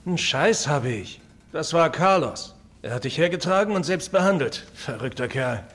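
A man playing a gruff, cynical, wisecracking survivor in an audio drama, blunt and casual. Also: a little high, animated, moderate pace, a bit bright. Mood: wry.

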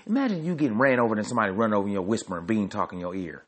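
A man's voice: fairly low pitch, sing-song, very fast. A man hosting his own podcast, delivering a joking, repetitive sports rant. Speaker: podcast host.

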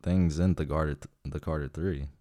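A man with a very deep voice joins a relaxed conversation about music. He teases and challenges with short, pointed questions. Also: moderate pace. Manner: teasing, pokes with short questions. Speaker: podcast co-host. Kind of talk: casual music debate.

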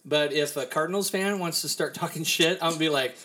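A man's voice: fairly high pitch, fast, sharp tone. A man from Kansas City talks loosely as the host of a casual podcast. He rambles, cracks jokes and swears without a second thought. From Kansas City, Missouri. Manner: rambling, joking, swears freely. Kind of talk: casual chat.

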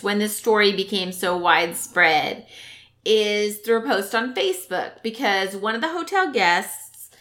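A woman with a bright, crisp-edged voice, telling a story on a casual podcast. She keeps it lively and teases what comes next with questions. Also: mid-range voice.

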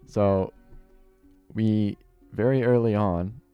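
A young man hosting a podcast, chatting in a relaxed way and often repeating himself. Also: on the low side, slow pace, clean tone, no echo, dull audio, soft background music. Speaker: podcast host. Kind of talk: casual podcast chat.

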